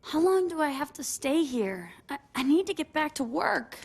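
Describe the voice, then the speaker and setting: A woman's voice: high-pitched, big pitch swings, moderate pace, vocal fry, heavily acted. A woman voicing a trusting, earnest female wrestling manager in a video game cutscene, sounding plaintive. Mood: dazed, trusting.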